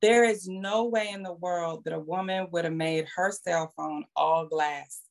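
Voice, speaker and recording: A woman's voice: lowish voice, fairly even intonation, unhurried. A middle-aged woman explaining her views at length in conversation, emphatically, with rhetorical questions and checking tags.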